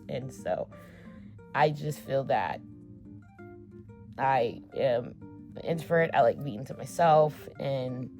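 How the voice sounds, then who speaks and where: A woman's voice: very deep voice, vocal fry, a little mellow. A woman in her twenties chatting casually to her camera in a get-to-know-me vlog, full of fillers and offhand swearing. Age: 20s. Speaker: vlogger.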